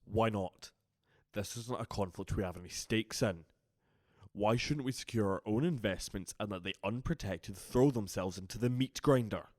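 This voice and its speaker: A man's voice: lowish voice, normal speed, darkish tone, dry recording, close to an everyday voice. A man playing a cynical, defiant vampire in an audio drama, blunt and challenging. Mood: sceptical. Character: cynical, defiant male vampire elder, distrustful and contemptuous.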